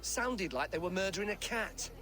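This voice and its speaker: A man's voice: high voice, expressive, normal speed, bright, forceful tone. An actor playing a cranky, coarse medieval townsman in a video game, grumbling with sarcasm. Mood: sarcastic, cranky. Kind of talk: video game drama acting.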